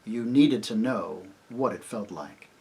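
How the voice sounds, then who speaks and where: Guy doing huge solemn storybook lion, kingly n tender, talks real slow and grave. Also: on the low side, a little mellow, pitched below the performer's own voice, low-quality recording. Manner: slow, grave, deliberate, authoritative. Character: great male lion, solemn, commanding, tender divine king.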